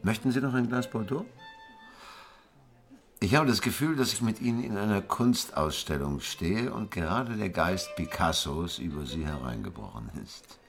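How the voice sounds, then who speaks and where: Urbane radio-play gentleman, low creaky voice, courteous teasing, inquisitive, music behind. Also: expressive, moderate pace. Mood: wry, curious. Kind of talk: radio drama dialogue.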